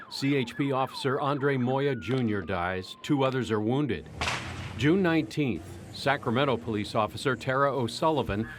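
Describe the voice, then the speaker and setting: A man's voice: mid-range voice, noisy background. A man with an American accent reading a scripted television news report as the reporter.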